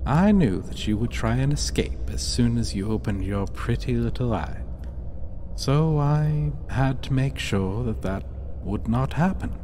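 A man playing a smug, sadistic, possessive vampire lord in an ASMR roleplay, teasing with mock affection and quiet menace. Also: mid-range voice, lively intonation, unhurried, a little hiss or hum, close to an everyday voice. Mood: smug, flirtatious. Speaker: voice actor in audio roleplay.

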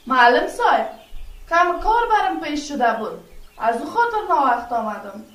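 A woman's voice: high voice, very animated, fairly bright tone. A woman playing a polite, eager village schoolgirl, deferential and earnest, in a radio drama. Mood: apologetic, polite. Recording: echoey room, distant from mic.

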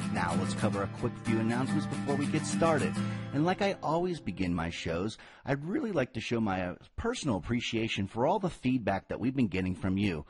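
A man opening his podcast show as host, reading a scripted intro in an upbeat, announcer-like way. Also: medium pitch.

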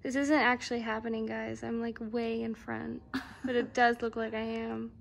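A woman's voice: a little high, flat delivery, moderate pace, creaky. A young woman in her twenties chatting casually into a handheld phone camera for a vlog, playful and giggly. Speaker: vlogger.

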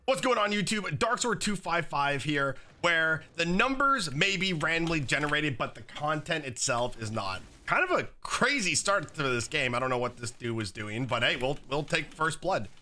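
A young man with a slightly raspy voice from being sick, chatting casually while commenting on his own gameplay at a desk setup. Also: fairly high pitch, sing-song, moderate pace, hardly any pauses, bright, forceful tone. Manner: casual, chatty, many fillers like "like" and "um". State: slightly raspy, recovering from illness. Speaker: gaming YouTuber. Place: home gaming setup, indoors.

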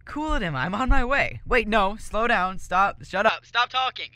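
A man voicing a brash, hot-headed teenage volleyball player in a comedic anime parody dub, blunt, sarcastic and excitable. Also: sits high, moderate pace. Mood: panicked shouting. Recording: music playing behind the voice.

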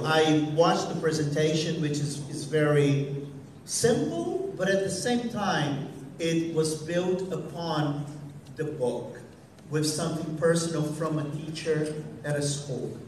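A man's voice: a little high, a little hiss or hum. A man with a Brazilian accent, a Portuguese speaker, hosting a teacher training event on stage, talking with many fillers and restarts. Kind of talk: on-stage talk. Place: large hall, on stage. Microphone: far audience camera. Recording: echoey hall from far off.

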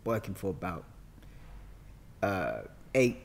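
A man's voice: low-pitched, very animated, takes it slowly, gravelly, slight background noise. A man in his thirties with a London accent, telling stories casually and colloquially as an interview guest on a podcast microphone. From London, England. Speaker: guest actor. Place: bright indoor room with sofa. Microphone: podcast microphone on boom arm.

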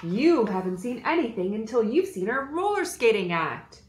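A woman's voice: a little high, big pitch swings, moderate pace, roomy sound, slight background noise, voice pushed up. A woman voicing show-off dinosaur kids, playful and bragging, in a picture-book story.

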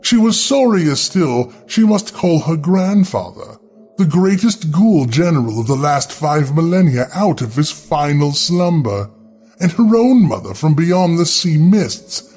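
A man reads scripted gothic horror with theatrical care. His pace is slow and his pitch moves widely, with faint music behind him. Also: big pitch swings, darkish tone, husky. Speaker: horror story narrator. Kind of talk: scripted gothic story narration.

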